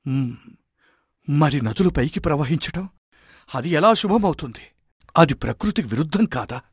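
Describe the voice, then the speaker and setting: A man's voice: on the high side, animated, normal speed, slightly rough, dry recording, clean studio sound, voice pushed down. A man playing an anxious, doubting king who searches for hope, speaking formally and questioningly in a dramatized moral story. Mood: doubtful.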